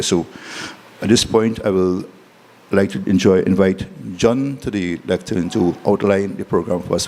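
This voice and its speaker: Civic host, male, Trinidadian accent, very low voice, formal halting address, background noise in a big room. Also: a little mellow. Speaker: city mayor giving welcome. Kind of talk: formal welcome speech. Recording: echoey reverberant hall, clearly noisy background.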